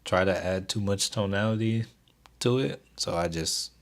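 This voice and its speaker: A young man with a very low voice that stays soothing and even. He hosts a stock trading livestream, chatting loosely with his viewers and reading their comments aloud in a relaxed way. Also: moderate pace. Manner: laid-back, keeps delivery even, reads chat aloud. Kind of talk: casual livestream chat with viewers.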